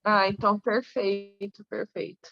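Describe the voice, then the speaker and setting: A woman joining a casual video call as a course participant, speaking in an affectionate, warm way. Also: medium pitch, level tone, a little slow. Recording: dull video call audio.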